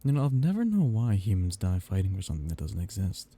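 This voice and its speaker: A man playing a seductive, possessive vampire captor in an intimate ASMR roleplay, teasing and condescendingly tender in a breathy, gravelly murmur. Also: sits low, huge pitch swings, quick pace, warm tone, full character voice. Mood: teasing, musing.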